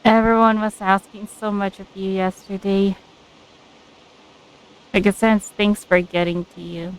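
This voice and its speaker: A woman's voice: medium pitch, flat delivery, unhurried, clean tone, poor audio. A woman game streamer giving casual playthrough commentary and reading bits of text aloud.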